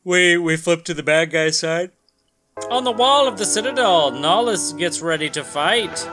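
A man's voice: on the high side, expressive, normal speed, music in the background. A man hosting a casual comedy read-along, riffing between bits of dramatic comic narration. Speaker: podcast host and narrator.

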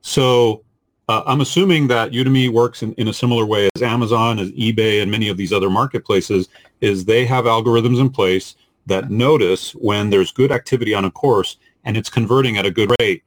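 A man with a fairly low voice talks as a podcast co-host and instructor. He explains in a measured, analytical manner, as part of a casual panel discussion. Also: low-quality recording.

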